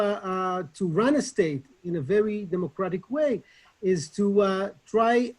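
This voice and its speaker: A middle-aged man with an Israeli accent giving an informal online lecture from home over a video call, speaking haltingly with many pauses and restarts. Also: sits high, darkish tone, husky, rough recording. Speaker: online session presenter.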